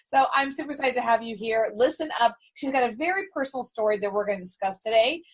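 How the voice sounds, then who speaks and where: A woman's voice: fairly high pitch, quick pace. A woman hosting a podcast interview, bubbly and enthusiastic, chatting casually and laughing often. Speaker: podcast host.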